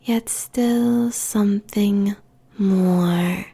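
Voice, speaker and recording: A woman playing a seductive, possessive demon queen succubus in an intimate roleplay monologue, whispering breathily with deliberate, sensual pauses. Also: mid-range voice, fairly even intonation, creaky, mellow, husky, full character voice.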